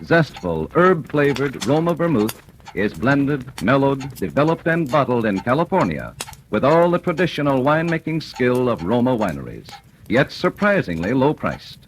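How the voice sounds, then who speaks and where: A male radio announcer reading a sponsor advertisement in a smooth, polished 1940s style. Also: medium pitch, sing-song, normal speed. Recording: noisy old radio broadcast.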